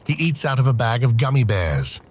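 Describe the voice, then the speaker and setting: A man's voice: mid-range voice, very animated, brisk, a little hiss or hum. A man narrating scripted stage action as the narrator of an audio drama.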